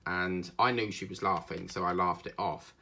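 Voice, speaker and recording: A man's voice: low voice, fairly even intonation, moderate pace, gravelly, pushed and bright. A man with an Essex accent, chatting casually and rambling through his day while he works on a craft video for his channel. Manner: rambling and chatty, with frequent fillers. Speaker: diamond painting vlogger.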